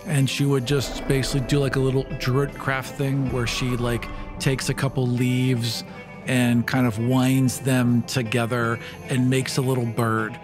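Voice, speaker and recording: A man's voice: medium pitch, little pitch movement, measured pace, over music. A man chatting casually and improvising as a player in a tabletop game.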